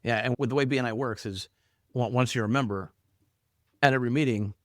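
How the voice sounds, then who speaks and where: A man's voice: medium pitch, a little fast, dry recording, studio quality. A man hosting a podcast, talking casually, restarting his words, and asking guests follow-up questions. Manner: conversational, repeats words, asks follow-up questions. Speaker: podcast host.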